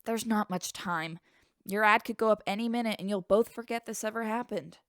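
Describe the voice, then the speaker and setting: An actress playing a kindly, shrewd woman in her eighties in a radio drama, with a dry, grandmotherly, unhurried manner. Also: medium pitch, fairly bright tone, no echo, lightly acted. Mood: grave, dry.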